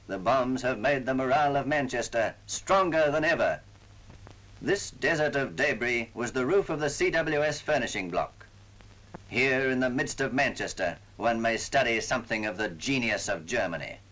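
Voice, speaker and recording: A man's voice: medium pitch, animated, moderate pace, a bit bright, low-quality recording. Solemn male 1940s newsreel narrator. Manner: solemn, formal, oratorical phrasing. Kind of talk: scripted wartime propaganda film narration. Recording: vintage 1940s film soundtrack.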